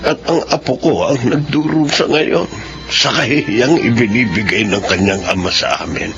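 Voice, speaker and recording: A man playing a remorseful, sorrowful old grandfather in a radio drama, with a Filipino accent and a gravelly, heavy-hearted delivery. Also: mid-range voice, moderate pace, lightly acted. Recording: muffled radio drama, music underneath.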